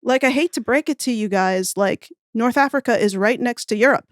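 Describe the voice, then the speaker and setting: A woman's voice: a little high, fairly quick, no echo, clean studio sound. A woman speaking as a podcast guest in casual, opinionated conversation, thinking aloud in an informal, emphatic way. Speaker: podcast guest, audio fiction writer.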